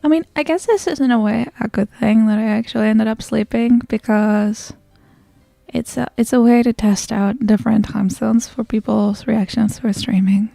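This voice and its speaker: A woman livestreamer chatting casually in a soft, sleepy ASMR style that often slips toward whispering, close to the microphone. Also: fairly high pitch, fairly even intonation, normal speed, creaky, dry recording, soft background music. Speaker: livestreamer greeting chat and hosting a game stream.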